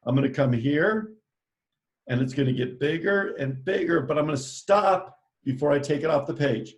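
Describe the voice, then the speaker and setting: A man's voice: fairly high pitch, normal speed. A man teaching a live online drawing lesson, giving playful step-by-step instructions in an upbeat way. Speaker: art teacher.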